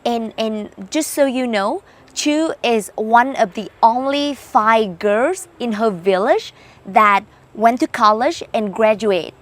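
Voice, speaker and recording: A woman's voice: on the high side, takes it slowly. A woman from Vietnam hosting an interview, chatting and asking questions in broken English. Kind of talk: casual interview chat.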